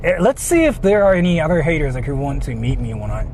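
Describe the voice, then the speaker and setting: Higher-voiced Japanese-accented man, noisy car livestream. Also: sing-song, fast, a little mellow. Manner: rambling, repeats himself, crude joking. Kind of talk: casual livestream chat, reading donations. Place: inside a car while driving. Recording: noisy moving car interior.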